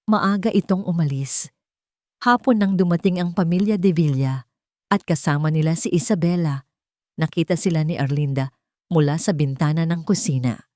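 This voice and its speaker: A woman's voice: low voice, moderate pace, darkish tone, no room sound, studio quality. A woman reading a scripted, dramatic love story as a storyteller. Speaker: storyteller, narrator.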